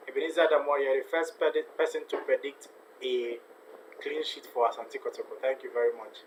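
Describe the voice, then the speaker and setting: A man's voice: mid-range voice, steady tone, brisk, slight background noise, poor audio. A man hosting a live football watch-along stream, chatting casually, repeating himself and reading viewer comments aloud. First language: not a native Ghanaian; speaks with a foreign accent.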